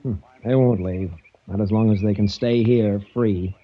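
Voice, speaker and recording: A man's voice: on the low side, steady tone, normal speed, close to an everyday voice. A man playing a worried, earnest heir in a 1940s radio mystery, with clipped, intense delivery. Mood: grim, pleading. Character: worried, earnest male heir pleading with friend. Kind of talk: 1940s radio drama acting. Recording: muffled old-time radio recording.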